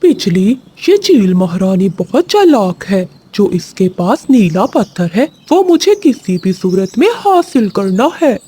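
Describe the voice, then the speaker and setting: Casting: woman as plotting cartoon bird husband, husky and dark, very lively delivery. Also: mid-range voice, unhurried, few pauses. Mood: scheming. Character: scheming bird villain husband coveting a magic stone. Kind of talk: animated moral-story cartoon dub.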